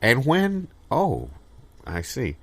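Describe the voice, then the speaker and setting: A man playing a flustered, hesitant interviewer in improvised comedy, halting and often trailing off. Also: mid-range voice, huge pitch swings, creaky, heavily acted. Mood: puzzled, polite. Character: flustered, hesitant male interviewer of an odd guitarist.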